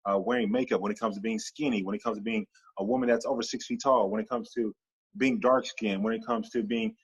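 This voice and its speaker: A man speaking as a podcast guest in a casual interview, animated and stacking long repeated lists as he talks. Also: on the low side, fast, breathy edge, poor audio.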